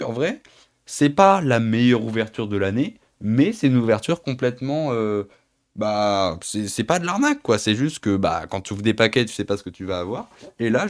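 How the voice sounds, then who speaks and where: A man's voice: medium pitch, darkish tone. Young man with a stuffy cold. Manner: chatty, rambling, names each card as he goes. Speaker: channel host. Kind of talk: casual card-opening vlog. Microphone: phone camera.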